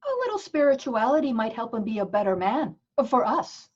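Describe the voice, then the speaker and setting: Casting: actress for soft-hearted, lovelorn female nun, cheeky, fairly high and expressive, muffled lo-fi audio. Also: fairly quick. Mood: wistful, teasing. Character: gentle, wistful, lovelorn nun with a cheeky streak. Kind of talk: virtual table read of a comedy play.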